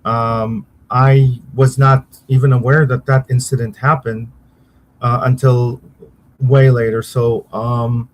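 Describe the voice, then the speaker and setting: Hesitant man, pausing for words. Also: mid-range voice, little pitch movement, a little hiss or hum. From Israel. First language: Hebrew. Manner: halting, searches for words, many pauses. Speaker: interview guest, uncle of a victim. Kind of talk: conversational interview answers.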